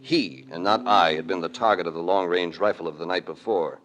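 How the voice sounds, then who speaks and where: An actor playing a cool, commanding investigator in an old radio mystery, with terse and authoritative delivery. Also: male voice, low voice, brisk, fairly bright tone. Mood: grim narration. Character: cool, commanding male investigator, hard-boiled and shrewd. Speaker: lead actor and narrator. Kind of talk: 1940s-50s radio detective acting. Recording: vintage radio drama recording.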